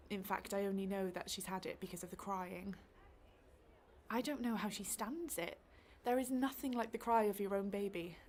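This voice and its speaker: A woman playing a fretful, well-meaning middle-aged mother in a radio drama. She talks in a chatty, self-questioning way at a medium pitch, over faint background noise. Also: natural voice. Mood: reflective. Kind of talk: radio play monologue.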